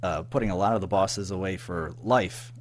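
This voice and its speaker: American guy, low voice, kinda rambling casual interview chat, bit of hum behind him. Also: normal speed. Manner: hesitant, informal, rambling. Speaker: interview guest, audio drama writer and producer.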